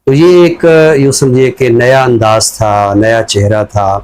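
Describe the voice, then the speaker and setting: A man with a Pakistani accent giving reflective, conversational commentary as a scholar, explaining things in a measured way. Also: mid-range voice, fairly even intonation, darkish tone, clear, resonant tone. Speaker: scholar commentator on a talk show.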